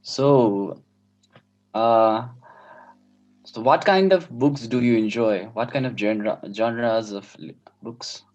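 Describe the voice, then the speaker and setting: A man's voice: medium pitch, slow, a little mellow. A man acting as mock examiner, asking interview questions hesitantly, often restarting them. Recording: dull lo-fi call audio.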